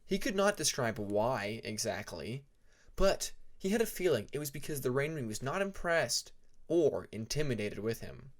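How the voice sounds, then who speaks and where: A man narrating scripted fiction as an audiobook reader, deliberate and with dramatic pauses. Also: mid-range voice, sing-song.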